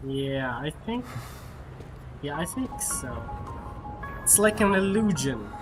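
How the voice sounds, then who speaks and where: A male livestreamer comments casually on a game. He speaks slowly and haltingly in slangy language, with game music clearly behind him. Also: on the high side, big pitch swings. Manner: halting, slangy, musing aloud. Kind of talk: casual live gameplay reaction commentary.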